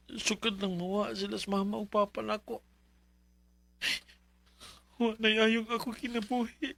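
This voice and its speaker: A man's voice: high-pitched, creaky, fairly bright tone, exaggerated voice. An actor with a Filipino Bisaya accent playing a hard-luck, plaintive young man in a radio drama. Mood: pleading, despairing. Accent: Filipino, Cebuano Bisaya.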